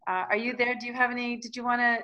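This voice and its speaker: A woman hosts a discussion over a video call. She feels her way through sentences, pausing and rephrasing as she guides the group. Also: fairly high pitch, flat delivery, sharp tone, lo-fi sound. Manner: hesitant, halting, thinking aloud. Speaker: host moderating an online panel.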